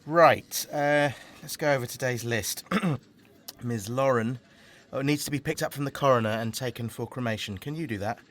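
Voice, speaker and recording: A man's voice: mid-range voice, moderate pace, fairly bright tone, a little hiss or hum, close to an everyday voice. An actor playing a cheerful, kindly male council funeral officer in an audio drama, friendly and slightly hesitant. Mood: earnest, businesslike. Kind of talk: naturalistic audio drama acting.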